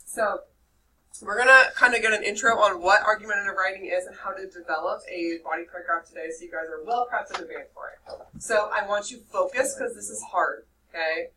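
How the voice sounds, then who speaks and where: A young woman with a nasal voice teaching a high school English class, lively and checking in with her students. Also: fairly quick. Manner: lively, checks in with "okay?" often. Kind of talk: classroom lecture. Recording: distant mic with faint room noise.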